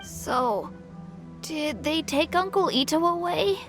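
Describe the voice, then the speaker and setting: An actress playing an excitable, admiring little boy in a video game, eager and earnest. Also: high-pitched, takes it slowly, music in the background. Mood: curious, eager.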